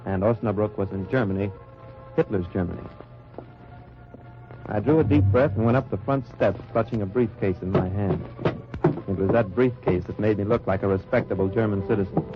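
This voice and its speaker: A man's voice: on the low side, lightly acted. A man playing a cool-headed undercover agent. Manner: terse hard-boiled narration. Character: American male spy posing as German salesman, calm. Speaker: lead actor and first-person narrator. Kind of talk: 1940s radio drama acting. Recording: muffled old radio recording with music.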